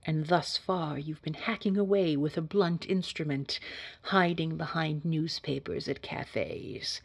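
Woman playing a low, breathy, icy female boss. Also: dry recording, heavily acted. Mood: stern, instructive. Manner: cold, precise, scolding, formal wording. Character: scary, condescending female boss at a secretive organization. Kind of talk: scripted audio roleplay drama.

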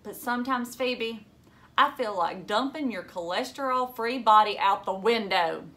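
A woman's voice: fairly high pitch, a little slow, fairly bright tone. A woman reading the part of a level-headed but exasperated schoolgirl in a storybook, frank and emphatic.

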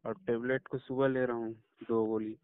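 Heard over a narrow phone line, this is a man with a smooth, clear voice at a middling pitch. He talks as a patient in a consultation call. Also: little pitch movement, normal speed, no echo. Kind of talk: phone conversation. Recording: tinny phone call recording.

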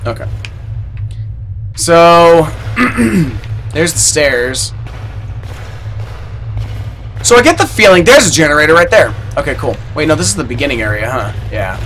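A young man streaming a horror game, swearing and shouting in panic, talking into a headset in a small room. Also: a little high, sing-song, moderate pace, faint music behind, poor audio. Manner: swears a lot, yells in panic. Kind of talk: live horror game commentary.

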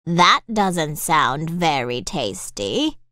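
A woman playing a kindly, prim older village mother, polite and a little sceptical, in an animated children's story. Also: low-pitched, extremely slow, dry recording, studio quality, heavily acted.

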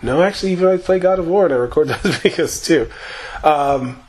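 Profane, rambling gamer man, slightly hoarse. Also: on the high side, fairly quick, darkish tone. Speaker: gaming YouTuber. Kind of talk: casual gameplay commentary.

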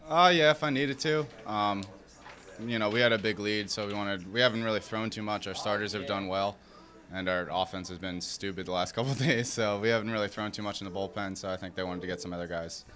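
A young man, a relief pitcher, answering post-game questions in an easygoing, matter-of-fact way into a handheld mic. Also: steady tone, fairly quick, fairly bright tone. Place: indoors in front of a sponsor backdrop. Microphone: handheld interviewer mic.

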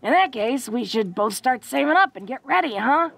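Woman acting a gravelly, tough young orc sweetheart. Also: high-pitched, expressive, moderate pace, fairly bright tone, dry recording, exaggerated voice. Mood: earnest, hopeful. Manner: casual, folksy, hesitant with frequent stammers and "huh?" tags. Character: tough, bold young orc woman, secretly awkward and tender. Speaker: voice actor in audio roleplay. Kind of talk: intimate romantic audio roleplay acting.

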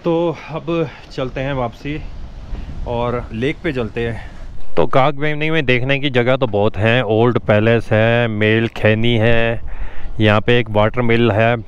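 A middle-aged man from Delhi talks casually over a helmet camera while riding through mountain villages. His mid-range voice comes through dull and muffled, with clear wind and road noise all around. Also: medium pitch, steady tone, takes it slowly. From Delhi, India. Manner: chatty, describing sights while riding. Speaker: motorcycle travel vlogger. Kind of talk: casual travel vlog narration. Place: outdoors on a motorbike in mountain villages. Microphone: helmet-mounted action camera.

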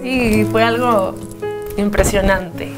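A woman's voice: medium pitch, steady tone, slow, loud music behind the voice. Young woman, Mexican Spanish accent, chatty guest, handheld mic, TV studio. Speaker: singer guest. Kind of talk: casual interview answers. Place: TV studio, remote split screen.

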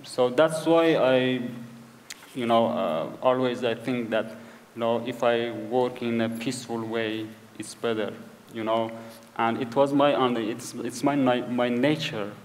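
A man with a Kurdish accent talks slowly and haltingly in English, often pausing to find the right word and circling back. His voice sits at an ordinary mid pitch. It carries the long echo of a large hall at a live event. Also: slight background noise. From Iranian Kurdistan. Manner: hesitant, searching for English words, repeats himself. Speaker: author guest. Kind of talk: conversational interview on stage.

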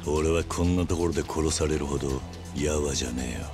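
A man playing a stoic, tough yakuza in a video game drama, speaking in a terse, gruff, cool manner. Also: extremely low pitch, brisk, over music. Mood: stoic, guarded.

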